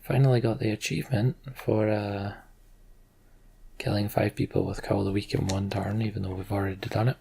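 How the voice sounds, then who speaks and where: A man's voice: lowish voice, level tone, a little fast, vocal fry, warm tone, husky. A man hosting a let's play, commenting on a game and narrating its story.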